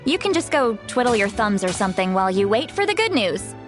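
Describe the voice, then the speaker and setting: A woman's voice: medium pitch, sing-song, brisk, natural voice. An actress voicing a confident, easygoing young woman who talks in a breezy, casual way. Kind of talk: game voice acting. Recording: recorded game audio with music behind. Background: game music.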